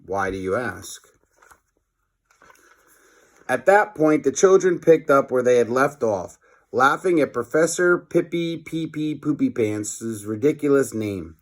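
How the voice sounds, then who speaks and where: A man's voice: medium pitch, expressive, measured pace. Man reading a children's storybook aloud. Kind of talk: expressive children's storybook narration.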